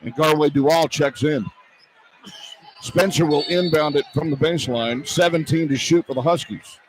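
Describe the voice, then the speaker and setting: A man doing live radio play-by-play of a basketball game in clipped, excitable running phrases that can rise into shouts. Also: on the high side, normal speed, rough recording. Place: courtside in an indoor arena. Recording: loud arena noise behind. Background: loud game ambience.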